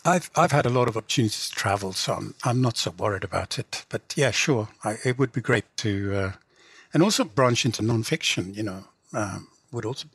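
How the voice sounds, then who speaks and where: A man's voice: lowish voice, lively intonation, fairly quick, fairly bright tone, breathy edge, no room sound. A middle-aged man with an English accent speaks reflectively and conversationally as an interview guest, hedging often with "you know". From England. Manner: reflective, hedging, frequent 'you know'. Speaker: guest, writer and literary translator.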